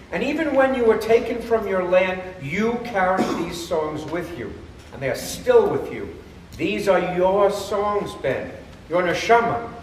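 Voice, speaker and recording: Male actor as persuasive cantor, stage play, declamatory pauses, roomy echo, faint noise. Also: sits high. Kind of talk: live theater comedy acting. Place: theater or temple hall, far microphone. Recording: echoey hall from far off, noisy.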